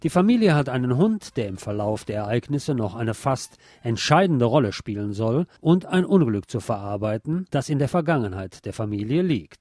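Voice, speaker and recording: A man's voice: mid-range voice, big pitch swings, moderate pace, hardly any pauses, darkish tone, dry recording, studio quality. A man delivering a scripted film review as a critic recommending a movie to listeners.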